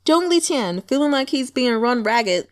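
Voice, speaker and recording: A woman giving casual, animated book commentary as a channel host, wry and colloquial, often talking as if to the characters. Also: sits high, normal speed.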